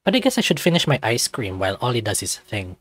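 A man's voice: medium pitch, sing-song, fast, a bit bright, slight background noise. A man reading visual novel narration aloud as a let's-play narrator.

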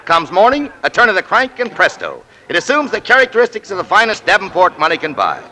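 An actor playing a showy, smooth-talking furniture salesman in a 1940s radio play. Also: sits high, huge pitch swings, fast, bright, forceful tone, exaggerated voice, low-quality recording.

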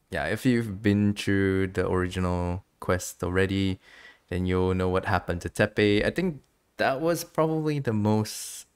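Low-voiced man, chatty game streamer. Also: smooth. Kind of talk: casual game commentary.

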